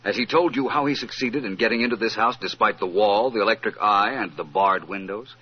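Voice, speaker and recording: A man playing a suave, self-assured gentleman detective with polished, formal speech in an old-time radio mystery. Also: fairly low pitch, a little fast, breathy edge. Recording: muffled, noisy old radio recording.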